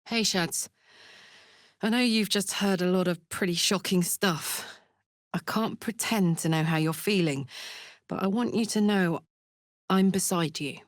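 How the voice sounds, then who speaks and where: A woman's voice: medium pitch, unhurried, pauses often, no room sound, lightly acted. Actress doing a brash tiefling fighter girl from a game, blunt n matey, takes her time, super dry close sound. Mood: earnest. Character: brash, big-hearted tiefling warrior woman, battle-hardened. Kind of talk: video game character voice lines.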